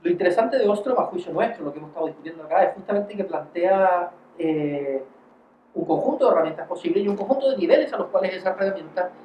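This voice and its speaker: Male forum moderator, rapid academic questioning with stumbling repeats, dull roomy audio with slight noise. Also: sits high, expressive. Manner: halting, repeats words, scholarly. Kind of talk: academic discussion, long questions to a guest.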